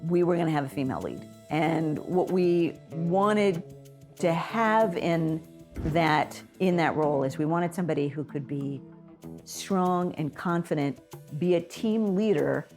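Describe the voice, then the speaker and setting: Low-voiced woman, measured. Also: animated, a little mellow, faint music behind. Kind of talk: featurette interview.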